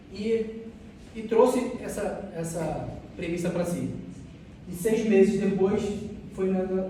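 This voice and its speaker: A Brazilian man giving an academic research presentation as a student, haltingly, often repeating and restarting phrases. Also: high-pitched, mellow. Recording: distant mic, very echoey room, faint noise.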